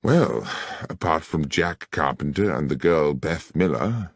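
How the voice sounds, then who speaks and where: A man playing a detective's loyal gentleman companion with an English accent, courteous, earnest and measured. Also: rumbling low, animated, vocal fry, breathy edge, dry recording, clean studio sound, heavily acted. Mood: hushed, musing.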